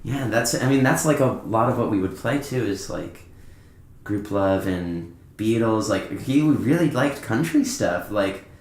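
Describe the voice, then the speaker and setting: A man's voice: lowish voice, animated, measured pace. A young man casually telling anecdotes as a podcast guest, in an informal, rambling way. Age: young adult. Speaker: podcast guest, band musician. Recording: echoey, roomy podcast recording.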